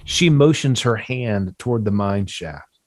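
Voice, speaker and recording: A man's voice: lowish voice, animated, unhurried. A man reading stage directions from a horror screenplay as scripted narration.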